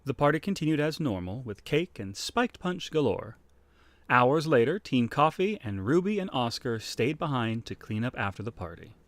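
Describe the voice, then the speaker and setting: A man's voice: medium pitch, big pitch swings, moderate pace, no room sound. A man narrating a fan audio drama in a theatrical, playful style with wry asides.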